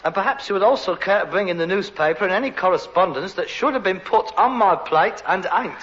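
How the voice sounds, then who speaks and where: An actor playing an exasperated, sarcastic adult son with mock-refined airs and a London Cockney accent in a vintage British sitcom. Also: male voice, high-pitched, animated, fairly quick, fairly bright tone. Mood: sarcastic, annoyed. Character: exasperated, sarcastic adult son with aspirations, rag and bone man. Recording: dull, noisy vintage broadcast recording.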